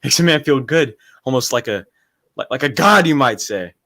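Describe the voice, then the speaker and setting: A man's voice: mid-range voice, animated, moderate pace, a bit bright. A man playing a deranged, power-mad village postman and bell ringer in a radio drama, with a rustic, menacing manner. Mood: gloating. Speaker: amateur actor in a student radio drama. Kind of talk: 1940s radio drama acting.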